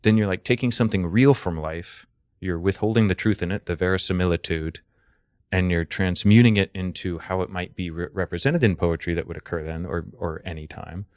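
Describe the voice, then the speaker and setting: Casting: American male podcast guest, low voice, bookish and explanatory, conversational, no room echo. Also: steady tone, fairly quick, darkish tone, clean studio sound. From Texas, United States. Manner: thoughtful, wordy, erudite phrasing. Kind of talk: casual explanatory chat.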